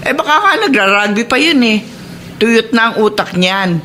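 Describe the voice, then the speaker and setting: A woman's voice: fairly high pitch, lively intonation, normal speed, bright, forceful tone, slight background noise. An actress playing a meddling, judgmental mother in a Filipino radio drama, disapproving and gossipy in manner.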